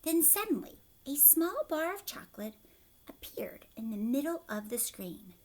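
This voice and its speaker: Female storyteller for young children: teacherly, explanatory asides, expressive delivery, lots of pitch movement. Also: normal speed. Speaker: teacher reading aloud.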